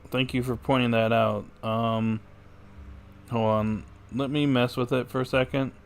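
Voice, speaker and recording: Offhand male game streamer, mid-pitched. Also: level tone, measured pace, gravelly, clean tone. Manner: offhand, musing aloud. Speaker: livestreamer. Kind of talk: casual game stream commentary.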